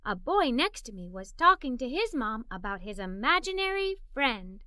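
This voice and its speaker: A woman's voice: fairly high pitch, moderate pace, clean tone, dry recording, clean studio sound. A woman reading a children's story aloud expressively, in the first person as a curious, imaginative young girl. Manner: expressive, animated, with giggles. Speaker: storybook reader.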